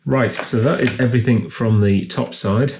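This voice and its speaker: A man's voice: mid-range voice, normal speed, darkish tone. A man giving a casual, matter-of-fact repair tutorial, explaining each step as he works. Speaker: repair video host. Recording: clear background noise, handling clicks.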